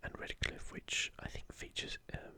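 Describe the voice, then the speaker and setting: A man speaking in a soft, breathy whisper, gently musing in a casual ASMR show-and-tell close to the microphone. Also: normal speed. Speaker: host of an ASMR gaming channel.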